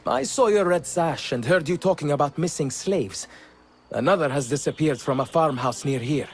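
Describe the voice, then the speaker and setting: An actor voicing a genteel, secretive tea house owner with a polished, slightly theatrical manner. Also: male voice, a little high, moderate pace, lightly acted.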